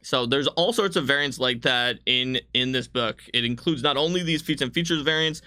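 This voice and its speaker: A man's voice: mid-range voice, moderate pace, sharp tone. A man casually explaining tabletop game rules in frank detail, as a designer on a livestream.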